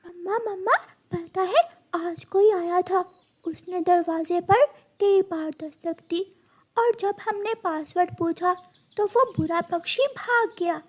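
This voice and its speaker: An earnest little chick from a cartoon fable, voiced by a woman. The voice is extremely high and childlike. It speaks slowly, eager and careful. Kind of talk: animated moral story voice.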